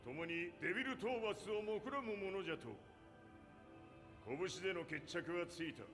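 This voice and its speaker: Imperious old warlord, high for a man. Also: animated, fast, pushed and bright, full character voice. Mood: scornful, commanding. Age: older. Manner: commanding, archaic old-man speech. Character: imperious, ruthless old martial-arts warlord patriarch. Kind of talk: dramatic video game cutscene acting. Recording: long hall reverb, music underneath.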